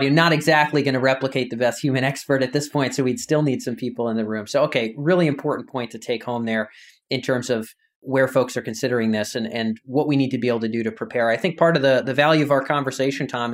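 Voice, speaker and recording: Conversational male interview host. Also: mid-range voice. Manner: conversational, repeats words as he starts. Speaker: podcast host. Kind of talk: interview hosting.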